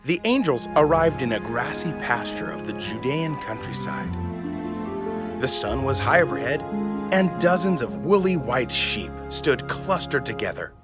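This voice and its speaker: A man narrating a scripted family story in an expressive storytelling manner. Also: mid-range voice, unhurried, over loud music.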